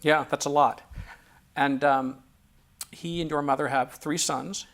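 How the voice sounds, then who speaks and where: A man hosting a relaxed interview, asking questions with frequent pauses, ums and restarts. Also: fairly high pitch. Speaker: interviewer and host.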